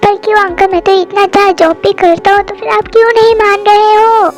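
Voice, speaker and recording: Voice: very high voice, little pitch movement, brisk, faint music behind. A young performer voicing an earnest, lovesick young soldier in an amateur voice drama. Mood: pleading. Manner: pleading, reassuring. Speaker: voice actor in a fan drama.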